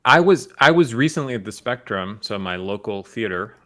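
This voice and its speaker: Low-voiced American man, analytical talker. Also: lively intonation, moderate pace, fairly bright tone. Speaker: film talk show panelist. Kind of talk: casual analytical film discussion.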